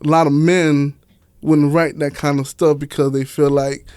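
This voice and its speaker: Guy from miami, smooth clear voice, kinda high, slangy candid podcast talk, dry close sound. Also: level tone, moderate pace, a little mellow, dry recording. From Miami, United States. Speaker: interview guest, author and stage play director. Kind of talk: casual podcast chat.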